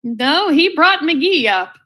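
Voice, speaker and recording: A polite, innocently literal housewife from an old-time radio comedy, played by a woman. Her voice is high and bright, with lively melody. She stays courteous and matter-of-fact. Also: takes it slowly, full character voice. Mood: innocently helpful.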